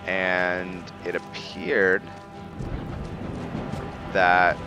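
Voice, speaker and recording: A man with a low voice gives casual gameplay commentary at an unhurried, slow pace. He thinks out loud, halting and restarting as he goes. Music plays clearly behind his voice. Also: monotone, highs cut off. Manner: halting, thinking aloud, trailing off mid-phrase. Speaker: gaming host narrating a playthrough. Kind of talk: casual let's-play commentary. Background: game music behind the voice.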